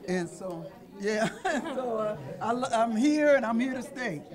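High-voiced chatty woman in her seventies. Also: measured pace. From Illinois, US. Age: 70s. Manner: chatty, with many fillers and restarts. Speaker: senior center member, veteran. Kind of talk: self-introduction. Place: senior center activity room. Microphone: handheld mic held by host.

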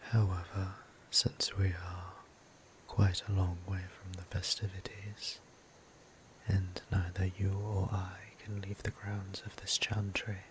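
A gentle vampire priest played by a man in an ASMR roleplay, whispering with formal, tender courtesy. His low voice stays very flat and moves slowly, with ambient sound behind it. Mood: courteous, inviting. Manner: formal, hushed, unhurried, tender, with deliberate pauses. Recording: clear ambient background noise.

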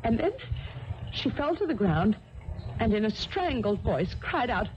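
An actress playing an uneasy young heiress recounting a dreadful night in a 1970s radio drama. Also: female voice, on the high side, very animated, a little slow. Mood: tense, horrified. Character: uneasy young English heiress recounting her sister's death. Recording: dull, noisy archived radio broadcast.

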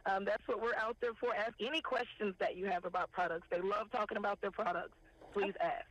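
A woman, a farmers market director calling in to a morning news show and listing products in a steady, unhurried way. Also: mid-range voice. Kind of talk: phone interview, listing products. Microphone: telephone. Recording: tinny phone line.

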